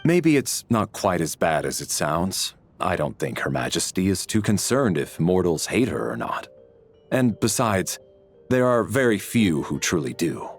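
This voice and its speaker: An actor voicing a calm, diplomatic male official in a video game, composed and reassuring. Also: low voice, a little slow, faint music behind, exaggerated voice.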